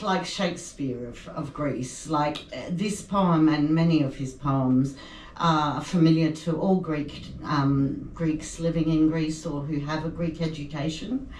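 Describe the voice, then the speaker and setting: A woman at an open mic introducing a poem, hesitant, with many ums and uhs. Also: low voice. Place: indoor venue at a poetry evening. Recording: echoey room, distant mic, faint noise.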